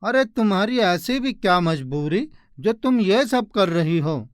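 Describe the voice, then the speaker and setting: A man plays a concerned porter in a storybook tale. His voice is high for a man and very clear, and he talks slowly and earnestly, full of sympathy. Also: lively intonation, no room sound, clean studio sound. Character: concerned male railway porter, sympathetic fellow worker. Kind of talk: animated moral story dialogue in Hindi.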